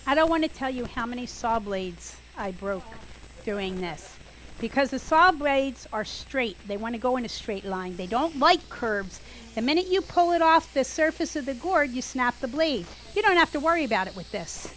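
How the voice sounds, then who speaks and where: A woman with an East Coast accent leads a live craft demonstration for a small group in a workshop room. She talks loudly and chattily, her voice sitting fairly high. Faint background noise runs under the recording. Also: moderate pace, low-quality recording. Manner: loud, chatty, animated. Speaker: gourd art instructor.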